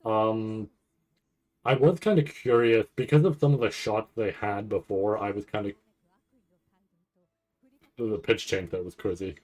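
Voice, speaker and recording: A man's voice: lowish voice, unhurried, pauses often, a little mellow, low-quality recording. A man streaming casual live reaction commentary, thinking aloud in a rambling, freely swearing way. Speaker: streamer reacting to an anime trailer.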